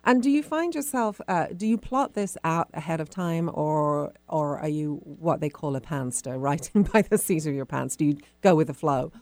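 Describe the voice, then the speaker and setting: Low-pitched woman interviewing on radio. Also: lively intonation, moderate pace, hardly any pauses, a little mellow, no echo. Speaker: radio show host. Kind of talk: conversational author interview.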